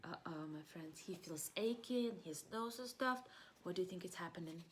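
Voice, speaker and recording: A woman preschool teacher reading a picture book aloud, encouraging the children and asking them questions with pauses for answers. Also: lowish voice, animated, a little hiss or hum.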